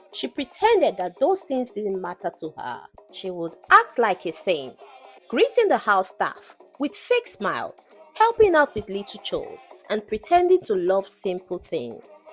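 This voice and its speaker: A woman narrating a scripted folktale in a dramatic storytelling style. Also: fairly high pitch.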